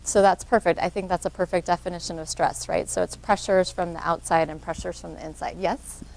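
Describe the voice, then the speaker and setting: Soft-voiced woman, lowish pitch, running a workshop, asks the room stuff and cheers them on. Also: fairly even intonation, brisk, vocal fry, low-quality recording. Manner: encouraging, draws answers from audience. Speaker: workshop speaker, social worker. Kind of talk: interactive workshop presentation.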